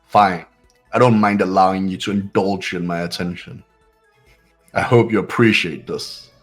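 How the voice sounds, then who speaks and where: Snobbish male student played by a man, lowish husky voice, pompous and condescending, game dialogue over faint music. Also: steady tone, normal speed. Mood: contemptuous. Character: haughty, disdainful young rich male student. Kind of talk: fan-voiced visual novel dialogue.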